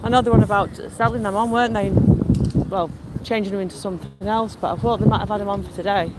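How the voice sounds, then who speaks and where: A high-pitched man from Lancashire rambles in a friendly, meandering way while he strolls outdoors and answers his live chat. Heavy wind buffets a handheld phone mic, roaring over much of what he says. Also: fairly even intonation, fast talker. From Lancashire, England. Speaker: live-streaming vlogger. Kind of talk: casual live-stream chat with viewers. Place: outdoors in a windy seaside town. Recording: loud wind noise on the mic.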